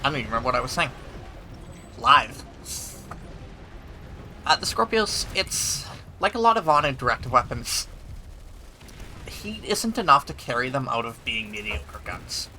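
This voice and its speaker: A man's voice: mid-range voice, sing-song, a little slow, long pauses, bright, forceful tone. A man giving an analytical, casual review as commentary while he plays a video game. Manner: analytical, talks while playing. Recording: gunfire and game battle noise behind. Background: video game gunfire and vehicles.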